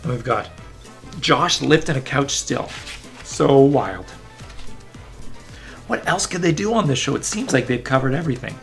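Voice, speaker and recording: A man's voice: medium pitch, expressive, unhurried, gravelly, pauses often, fairly bright tone, faint music behind. A man reading a children's story aloud in a playful, enthusiastic way, with casual asides to young listeners. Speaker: children's book reader.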